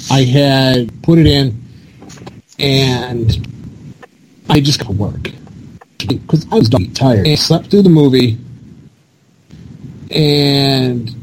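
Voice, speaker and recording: A man's voice: medium pitch, a little mellow, poor audio. A man casually telling a personal story as a podcast panelist. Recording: faint background room noise.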